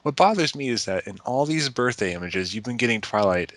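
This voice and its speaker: A man's voice: medium pitch, animated, fairly quick, fairly bright tone, no echo. A man reading a letter aloud in an earnest, emphatic manner. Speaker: reader of a letter to friends.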